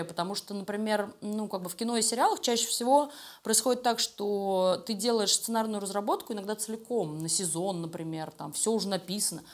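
A woman being interviewed, talking casually and telling stories in informal, slangy speech. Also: medium pitch. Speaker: animation studio creative director being interviewed. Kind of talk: casual conversational storytelling interview.

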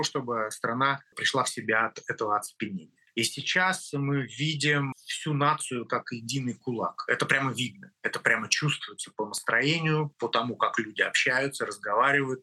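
A middle-aged man from Russia, a guest in an interview, speaking earnestly and emphatically in short, measured phrases. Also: mid-range voice, pushed and bright, rough recording.

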